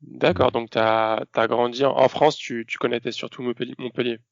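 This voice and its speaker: A man's voice: mid-range voice, fairly even intonation, moderate pace, creaky, highs cut off. A man hosting a podcast, putting questions to his guest in a conversational way. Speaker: podcast host. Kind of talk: conversational interviewing.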